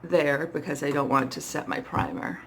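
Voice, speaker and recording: A middle-aged woman with a hoarse voice, chatting casually and playfully to herself as she demonstrates makeup, often poking fun at herself. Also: low-pitched, fairly even intonation, normal speed, a bit bright. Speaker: makeup vlogger. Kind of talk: casual makeup tutorial chat, talking to herself while working. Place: small indoor setup with dark backdrop.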